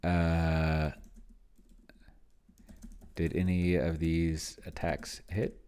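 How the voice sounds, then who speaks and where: A man's voice: deep voice, flat delivery, very slow, darkish tone, clean tone. A man giving casual think-aloud commentary on a game, musing to himself and trailing off. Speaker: solo tabletop game player and demonstrator.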